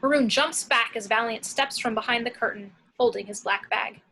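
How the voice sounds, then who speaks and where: A woman's voice: on the high side, moderate pace, sharp tone, rough recording. A woman reading screenplay action and scene headings as scripted narration.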